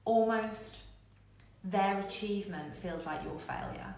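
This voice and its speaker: A woman's voice: mid-range voice, slow, darkish tone. A woman giving a candid live coaching talk to online viewers, emphatic and repeating phrases to drive points home. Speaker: business coach hosting a live online show. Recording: very echoey room, faint noise.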